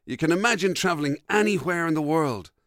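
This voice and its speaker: Animated Irish man in wry radio banter. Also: a little high, fast, no room sound, clean studio sound. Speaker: radio show co-host. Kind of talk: casual comic banter.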